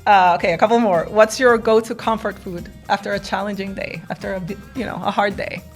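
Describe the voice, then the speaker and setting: A woman from Peru hosts a relaxed interview with a mid-range voice. She affirms her guest often and tags her remarks with questions. Faint music plays in the background. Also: mid-range voice. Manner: chatty, often tags "right?", quick affirmations. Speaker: interviewer host. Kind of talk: casual interview conversation.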